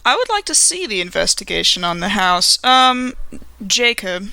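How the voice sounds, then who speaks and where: A woman playing a tabletop game in character, speaking formally and with measured, precise wording. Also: mid-range voice, very animated, gravelly, bright, forceful tone, smooth, no echo.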